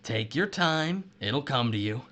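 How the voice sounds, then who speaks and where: Man acting a vengeful, taunting betrayed husband, bright edge. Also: medium pitch, lively intonation. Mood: gloating. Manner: taunting, sardonic, drawn-out deliberate menace. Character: betrayed, vengeful husband turned sadistic murderer, missile silo worker. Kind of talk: audio drama acting.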